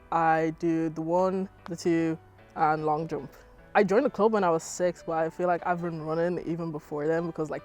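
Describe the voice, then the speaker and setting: A young Irish woman, an athlete, chatting casually about her running, full of "like" and lively retellings. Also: on the low side. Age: young adult. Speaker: athlete interviewee. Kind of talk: casual interview answers.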